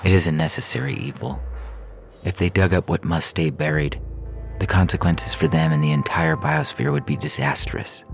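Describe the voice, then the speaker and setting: Very deep, gravelly man reading science fiction. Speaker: fiction podcast narrator. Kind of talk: scripted first-person science fiction story reading. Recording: music bed under the reading. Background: music.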